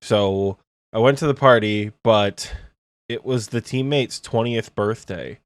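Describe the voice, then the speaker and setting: A man's voice: fairly low pitch, unhurried, smooth, dry recording. Tired young man, joking podcast host. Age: 20s. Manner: joking, swears casually. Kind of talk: casual podcast chat.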